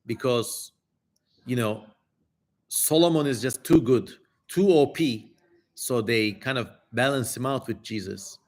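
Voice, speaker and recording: A man hosting a live reading stream, commenting casually and wryly with hesitant pauses. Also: medium pitch, a little mellow. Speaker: host of a dramatic reading livestream. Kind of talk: live stream commentary between passages of an old text.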